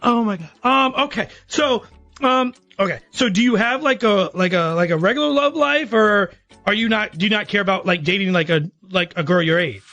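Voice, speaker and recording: A man's voice: high voice, normal speed, sharp tone, faint music behind. A man asking questions casually over lo-fi phone audio, stammering and repeating himself in disbelief. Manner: stammering, incredulous, repetitive.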